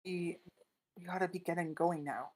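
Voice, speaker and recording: A woman's voice: on the low side, steady tone, moderate pace, darkish tone, close to an everyday voice. A woman playing a blunt, wary survivor who wants to flee, in an emphatic, colloquial screenplay table read. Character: blunt, wary armed partner, pleading to flee.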